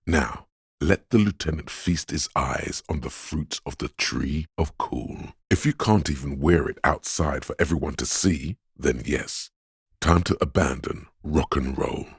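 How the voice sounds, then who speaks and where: An actor voicing a coaxing inner voice of intuition in a video game, deep and gravelly, speaking in measured, dramatic, intimate narration. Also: male voice, no room sound, studio quality, heavily acted. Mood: sly, coaxing. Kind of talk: scripted game narration as an inner voice giving social advice.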